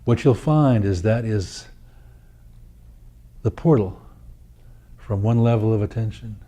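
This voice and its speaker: Male meditation guide: lowish pitch, very mellow and dark tone, measured phrasing with deliberate pauses. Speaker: meditation teacher.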